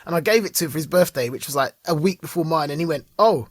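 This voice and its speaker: A man co-hosting a podcast in casual chat, excitable, laughing often and tripping over repeated words. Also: a little high, quick pace, no room sound, clean studio sound. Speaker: podcast co-host.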